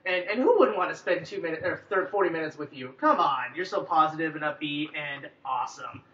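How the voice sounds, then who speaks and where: A man's voice: a little high, a little fast, pushed and bright. A man in his twenties with an American accent, hosting a sports radio show with joking, teasing banter. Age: 20s. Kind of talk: bantering live radio interview. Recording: distant, echoey, muffled radio capture.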